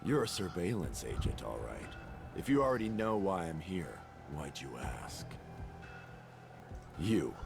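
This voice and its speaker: A man playing a menacing, arrogant hunter in a game cutscene, with a condescending, unhurried manner. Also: low-pitched, very animated, creaky, full character voice. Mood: smug, menacing. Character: menacing, arrogant adult male S-rank hunter. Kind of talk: dramatic game cutscene acting. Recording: music behind the voice.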